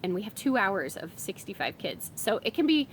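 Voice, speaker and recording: A woman in her thirties talks through a homeschool planning sheet in a loose, friendly vlog ramble. Her voice is a little worn from a summer cold. A faint low rumble sits under the recording. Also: sing-song, moderate pace, rough recording. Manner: chatty and rambling, many fillers and restarts. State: has a summer cold, voice a bit strained. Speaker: homeschooling mom vlogger. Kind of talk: casual explanatory vlog.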